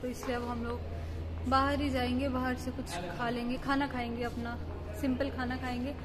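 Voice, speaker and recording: A woman's voice: high voice, little pitch movement, moderate pace. A young woman chatting casually with a friend while vlogging on her phone in a mall food court and on a night street, teasing and talking to viewers. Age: young adult. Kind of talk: casual vlog chat with a friend. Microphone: phone held at arm's length. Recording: loud background noise.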